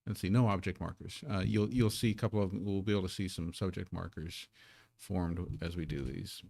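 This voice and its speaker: A man's voice: low-pitched, fairly even intonation, a little fast, creaky, a little mellow, dry recording. A man who is a native English speaker, teaching a live Korean lesson at his streaming desk in a casual, self-deprecating way, repeating words as he sets up.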